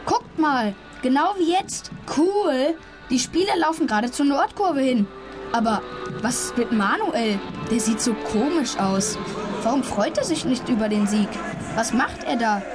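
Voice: high-pitched, expressive, measured pace, over music. An actor voicing a cocky, teasing young boy football fan with a cheeky, confident way of talking in a children's audio drama. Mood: curious, decisive.

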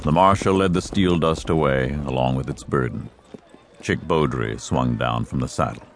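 A man narrating a dramatized western audiobook in a measured storytelling style. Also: very deep voice, slight background noise.

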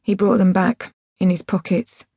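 A woman voicing a weary, resigned mother, speaking in a flat, tired, sighing way in an audiobook story. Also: medium pitch, moderate pace, slightly rough, dry recording, clean studio sound.

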